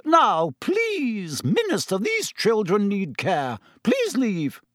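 Storybook hospital matron, played by a man: high-pitched, brisk, insistent, scolding. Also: wildly swooping pitch, no echo, studio quality, exaggerated voice. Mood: angry, insistent. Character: brisk, bossy, fussing female hospital nurse.

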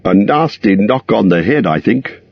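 A man's voice: fairly low pitch, lively intonation, normal speed, vocal fry. A man voicing a small, anxious male hobbit with a shaky, fretful manner. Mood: sorrowful. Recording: dull lo-fi recording.

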